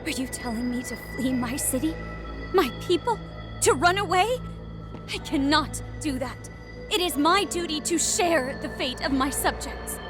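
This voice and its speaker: An actress playing a noble young princess in a game, speaking formally and earnestly with a dignified, dutiful manner. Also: female voice, high voice, animated, music in the background. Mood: defiant, resolute. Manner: formal, regal, measured delivery. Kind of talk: earnest dramatic game acting.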